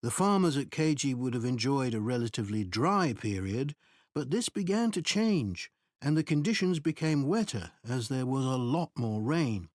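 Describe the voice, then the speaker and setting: A man giving a scripted academic presentation in a measured, explanatory way. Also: mid-range voice, animated, a little mellow, dry recording, studio quality. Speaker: presenter in a recorded exam listening test.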